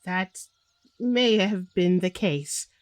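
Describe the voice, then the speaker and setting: A woman's voice: lowish voice, expressive, very slow, no echo. A woman playing a weary, troubled noblewoman in a fantasy audio drama, hesitant and formal in speech. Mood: dazed. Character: weary, troubled noblewoman recovering from haunting visions.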